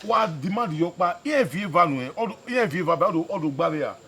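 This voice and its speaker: A hot-tempered Nigerian husband in a screen drama. His voice is high for a man and swings widely as he hammers his points by repeating them. Faint noise sits behind the location recording. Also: lively intonation, fairly quick, sharp tone. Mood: disgusted, venting. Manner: emphatic, repeats himself, mixes English with local language. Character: hot-tempered husband venting to his friend about women.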